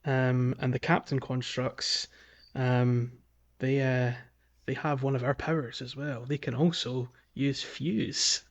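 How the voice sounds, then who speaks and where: A man's voice: mid-range voice, little pitch movement, slow. Casual male podcast host, slightly muffled audio. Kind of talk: casual video game discussion.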